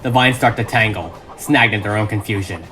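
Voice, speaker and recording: Bright, forceful male narrator over music. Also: on the low side, brisk. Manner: emphatic, suspenseful delivery. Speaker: script reader and narrator. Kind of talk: dramatic scripted narration. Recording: music behind the voice.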